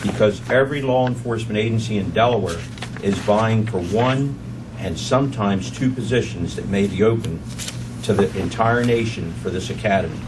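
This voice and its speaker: Halting low-voiced council member, distant. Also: male voice. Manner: halting, many fillers, building emphasis. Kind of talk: formal public remarks at a meeting. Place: council chamber. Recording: distant mic, noisy room hum.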